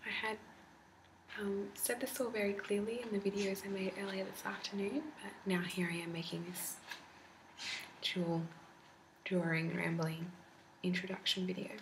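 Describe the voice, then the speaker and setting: A woman with an Australian accent whispering softly into a close mic for an ASMR talking video, rambling with frequent hesitant ums. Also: mid-range voice, moderate pace, pauses often. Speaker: ASMR creator. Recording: steady background noise.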